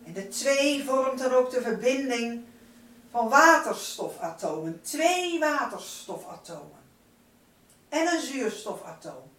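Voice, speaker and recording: A woman's voice: on the high side, animated, slow, fairly bright tone. A woman giving a spiritual guided meditation in a solemn, incantatory manner, drawing out and repeating her phrases. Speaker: spiritual teacher leading a light-circle meditation. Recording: roomy, distant microphone, noisy background.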